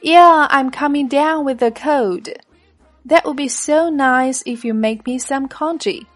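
Woman reading speaker B in a lesson dialogue, clear, a bit high, music faint underneath. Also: measured pace. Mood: plain. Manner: plain, careful read-aloud of lesson lines. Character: everyday adult speaker B in a practice dialogue. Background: faint background music.

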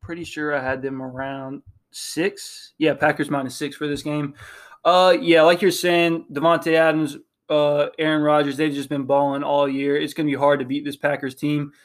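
A young man talks football picks in a halting, filler-heavy way. His voice sits a bit high but stays flat, with little rise and fall. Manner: hesitant, many ums and restarts. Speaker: podcast co-host. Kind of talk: casual sports chat.

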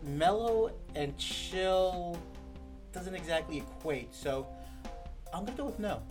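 Deadpan podcast guest. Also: mid-range voice, takes it slowly, soft background music. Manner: dry, deadpan humor. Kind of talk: casual interview.

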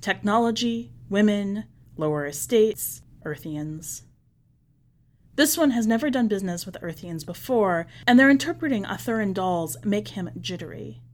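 A woman, the podcast's editor, reading a short story aloud in measured scripted narration. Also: mid-range voice, animated.

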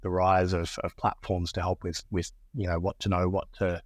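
A man's voice: low-pitched, level tone, normal speed, no echo, clean studio sound. An Australian man speaking as a podcast guest in casual interview talk, often repeating words as he finds his phrasing. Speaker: podcast guest, business executive. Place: Austin, Texas (based).

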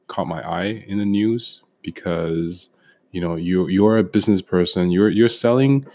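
A man's voice: sits low, mellow. A young man co-hosting a casual podcast, talking with frequent ums and restarts. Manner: hesitant, many ums and restarts. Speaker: podcast co-host.

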